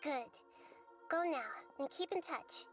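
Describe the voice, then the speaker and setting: A woman voicing a gentle, wise young girl deity in a video game, speaking in a measured, articulate, earnest way. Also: sits high, expressive, soft background music. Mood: calm, explanatory. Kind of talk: scripted video game voice acting.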